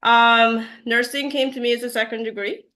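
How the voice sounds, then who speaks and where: A woman's voice: fairly high pitch, steady tone, normal speed, sharp tone. A woman in her forties from Guyana, a nurse practitioner telling her story as an interview guest, with many fillers and easy laughs. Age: 40s. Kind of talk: interview conversation.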